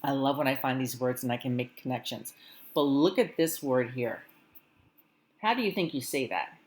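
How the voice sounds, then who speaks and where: Low-voiced woman greeting schoolchildren. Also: lively intonation. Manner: warm encouraging teacherly tone, slow careful reading. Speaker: school morning announcements host. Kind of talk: friendly talk to schoolchildren.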